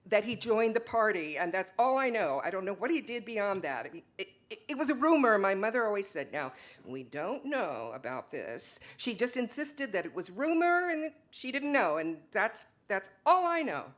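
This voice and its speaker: A woman's voice: mid-range voice, very animated, moderate pace, natural voice. A woman playing an exasperated, defensive wife in a dramatic stage reading, speaking emphatically. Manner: emphatic, insistent, swinging from soft to loud.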